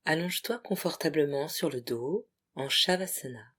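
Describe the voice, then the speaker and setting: A yoga nidra guide leading a relaxation in short, separated phrases, soothing and hushed, with a breathy voice that at times nears a whisper. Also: medium pitch, moderate pace.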